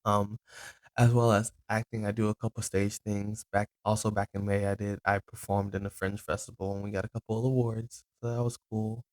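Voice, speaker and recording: Man in his twenties, low-pitched, casual rambling podcast host. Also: little pitch movement, fairly quick, no echo, rough recording. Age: 20s. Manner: rambling, loose, with many ums and self-corrections.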